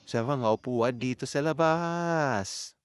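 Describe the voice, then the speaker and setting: A man's voice: mid-range voice, slow. A man with a Filipino accent narrating a vlog in a casual, chatty way, speaking straight to his viewers. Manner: informal, chatty, addresses viewers. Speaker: vlogger. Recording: clearly noisy amateur recording.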